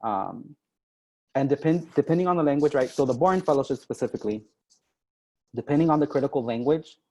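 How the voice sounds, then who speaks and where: A man's voice: medium pitch, expressive, brisk, darkish tone, low-quality recording. Conversational young man, video call. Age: young adult. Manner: conversational, many ums and "right?" tags. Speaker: diplomat and guest speaker. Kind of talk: informal online info session.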